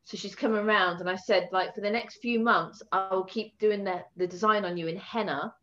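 A woman's voice: medium pitch, steady tone, moderate pace, a bit bright, muffled recording. A woman who works as a tattoo artist, chatting casually as a guest, telling stories, swearing and laughing. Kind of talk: casual chat.

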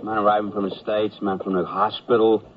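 An actor playing a dutiful, matter-of-fact army corporal, deferential and hesitant, in 1970s radio comedy style. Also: male voice, lowish voice, little pitch movement, a little fast, close to an everyday voice. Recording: slightly dull old radio broadcast.

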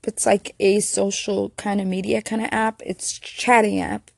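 A woman's voice: medium pitch, flat delivery, unhurried, creaky, darkish tone. A woman vlogger chatting casually and self-deprecatingly to her viewers, full of fillers. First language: not Korean. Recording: echoey room.

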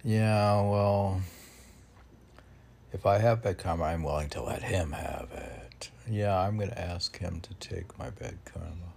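A man with a gravelly, husky voice reading his own poems, with halting, self-deprecating commentary in which he talks back to himself. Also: low voice, darkish tone. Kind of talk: poem reading mixed with rambling self-commentary.

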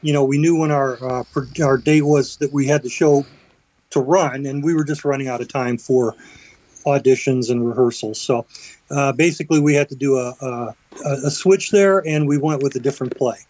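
A man's voice: mid-range voice, fairly even intonation, normal speed, a little mellow, no room sound, rough recording. Man, community theater guest, mid-range voice, chatty with fillers, dry close sound. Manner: chatty, explains with fillers and restarts. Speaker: theater company vice president, guest. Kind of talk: radio interview.

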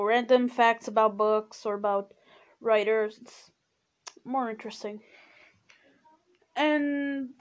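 A teenage girl from Portugal, a native Portuguese speaker, rambling sleepily in a casual personal chat and saying "you know" a lot. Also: on the high side. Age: teens. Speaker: podcast host.

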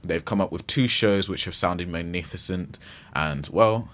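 A man with a low voice presents a community radio show from the studio. He talks in a loose, chatty way, restarting sentences and filling gaps with ums and yeahs. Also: unhurried, a bit bright. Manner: chatty, rambling with restarts and fillers.

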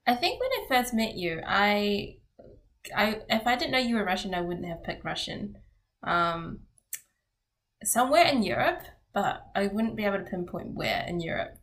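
A woman's voice: medium pitch, lively intonation, moderate pace, fairly bright tone. A young woman who grew up speaking Cantonese at home, chatting casually in a hesitant way, with many ums and frequent giggles. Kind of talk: casual chat.

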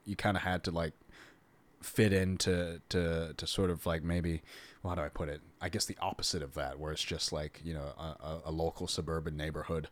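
A man's voice: sits low, fairly even intonation, a little fast. A man hosting a casual podcast interview, rambling with frequent restarts and laughter. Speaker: podcast host.

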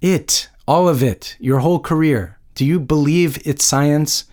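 A man's voice: a little high, measured pace, darkish tone, lightly acted. A man voicing an indignant, combative woman scientist in a story, blunt, exasperated and emphatic. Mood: anguished, demanding.

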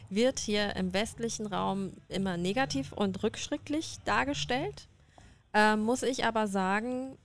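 A woman's voice: medium pitch, a little hiss or hum. Frank, outspoken woman. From Germany. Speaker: guest. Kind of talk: casual discussion.

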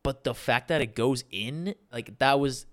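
A man's voice: medium pitch, a little slow. A man in a casual sports discussion, explaining carefully with many restarts. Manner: analytical, hesitant restarts.

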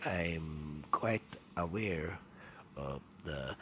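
A man's voice: rumbling low, drawn-out words, background noise. A Filipino man whose first language is Tagalog, hosting a solo podcast in English, rambling with many fillers and restarts. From Philippines. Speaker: podcast host.

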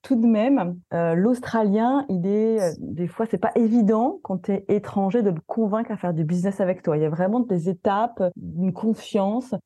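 A woman's voice: medium pitch, normal speed, few pauses, warm tone, no room sound. A woman hosting a casual business interview, chatty and full of hesitations. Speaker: podcast host. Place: in Australia.

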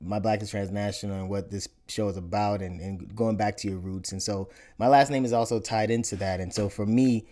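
A man in his thirties from Nigeria, a podcast host explaining his family background in a relaxed interview chat, often repeating words as he thinks. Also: fairly low pitch, brisk, hardly any pauses.

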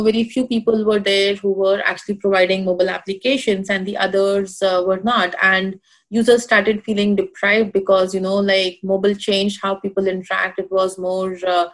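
A woman with an Indian accent speaks in a relaxed, conversational way. Her voice sits at an ordinary middle pitch. She keeps stopping to think with "uh" and "you know" as she explains. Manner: many fillers, thinks aloud with "you know" and "uh". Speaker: guest expert. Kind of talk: casual conversational interview.

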